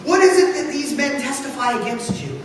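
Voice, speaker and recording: Male reader as accusing high priest, scripture drama, declamatory, demanding, distant, noisy recording. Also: sing-song, fairly quick. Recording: echoey, noisy hall from far off.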